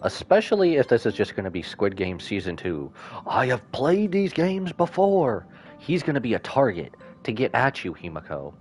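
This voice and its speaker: A man streaming a game with casual, informal live reactions and expressive asides. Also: medium pitch, normal speed. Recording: faint game music behind.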